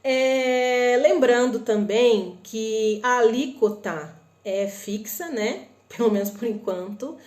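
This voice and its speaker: A woman with a northern Paraná Brazilian accent explains things to viewers in a chatty live stream, often checking in with them and asking quick rhetorical questions. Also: on the high side, echoey room. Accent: northern Paraná Brazilian Portuguese. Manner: chatty and animated, addresses viewers as "gente", many tag questions. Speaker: host of a live stream on shopping imports.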